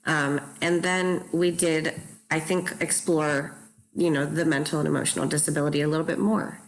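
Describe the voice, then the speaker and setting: A woman's voice: low voice, steady tone, moderate pace, slight background noise, low-quality recording. A woman speaking reflectively in a panel discussion, as a play's director. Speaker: play director. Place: virtual video call.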